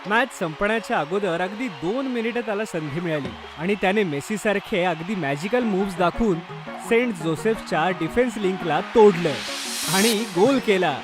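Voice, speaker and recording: High-voiced man narrating a children's story. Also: animated, moderate pace, over music.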